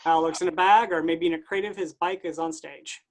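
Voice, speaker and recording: A woman's voice: sits low, fairly even intonation, brisk. Woman narrator reading out stage directions for a play, plain scene-setting.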